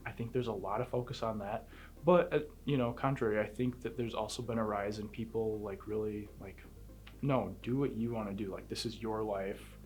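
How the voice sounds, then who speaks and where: A man's voice: on the low side, steady tone, normal speed. A man in his twenties giving thoughtful views in an interview. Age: 20s. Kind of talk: documentary interview.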